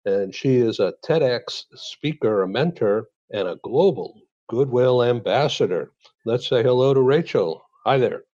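A man hosting an online radio talk show, introducing a guest and asking questions in a formal, measured way. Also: mid-range voice, expressive, warm tone, no room sound, dull audio. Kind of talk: scripted introduction, interview questions. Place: San Diego.

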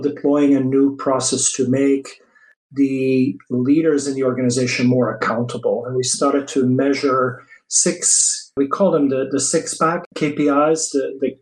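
A man's voice: medium pitch, a little mellow, rough recording. Belgian man with a Dutch accent. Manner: earnest, enthusiastic, uses "you know" and "right?" often. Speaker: guest, HR executive. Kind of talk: interview conversation.

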